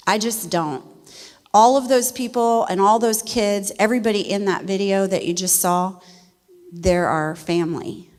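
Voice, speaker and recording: A middle-aged woman from West Virginia, a missionary speaking to a church congregation in a folksy, animated way. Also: mid-range voice, unhurried. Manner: folksy and animated, laughs easily. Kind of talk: church testimony talk. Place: church sanctuary. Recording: long reverb of a church hall.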